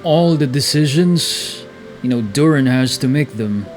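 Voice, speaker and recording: A man's voice: mid-range voice, expressive, a little slow, a little mellow, soft background music. A young man giving casual, laid-back game playthrough commentary in a musing way. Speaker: game streamer.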